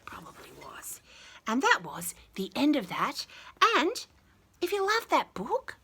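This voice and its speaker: A woman reading a picture book to young children with chatty, gushing commentary and expressive, exaggerated emphasis. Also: sits high, unhurried, husky. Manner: gushing, enthusiastic, talking to small children. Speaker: children's storyteller and host.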